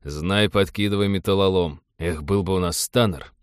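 A man's voice: sits low, moderate pace, clear, resonant tone, no echo, clean studio sound, close to an everyday voice. Man playing a brash, cynical spaceship pilot. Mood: annoyed. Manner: sardonic, colloquial.

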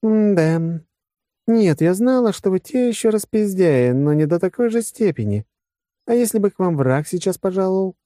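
Man voicing a scornful, high-pitched woman general. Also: lively intonation, moderate pace, dark tone, no room sound, studio quality. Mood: disapproving. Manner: mocking, commanding, coarse. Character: brash, scornful female military general.

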